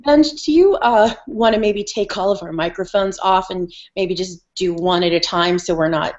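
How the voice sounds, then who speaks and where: A woman's voice: on the low side, moderate pace, a little mellow. Woman hosting an online town hall, rambly, lots of uhs, muffled laptop audio. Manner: rambling, filler-heavy. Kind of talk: hosting a video call. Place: room at home. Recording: dull lo-fi video call sound.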